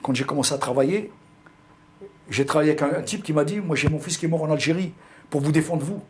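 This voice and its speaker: A man's voice: a little high, fast talker, a little hiss or hum. An older man from Algeria with a pied-noir accent, telling his memories in an interview, hesitating and repeating words. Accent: pied-noir French. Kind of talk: recounting personal memories.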